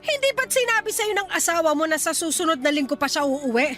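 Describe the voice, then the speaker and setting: A woman's voice: extremely high pitch, big pitch swings, fast, a bit bright, soft background music, full character voice. A woman acting in a Filipino radio drama as a blunt, suspicious older mother who scolds and insists. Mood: insistent.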